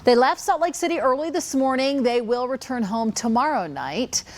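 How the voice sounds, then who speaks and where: A woman's voice: high-pitched, lively intonation, moderate pace. A woman with an American accent reading scripted television news as an anchor.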